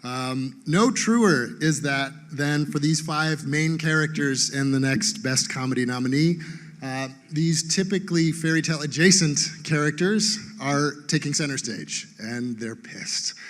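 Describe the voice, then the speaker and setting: A man's voice: fairly high pitch, unhurried, fairly bright tone. A man presenting at an awards show, introducing a nominee from the stage. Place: theatre stage.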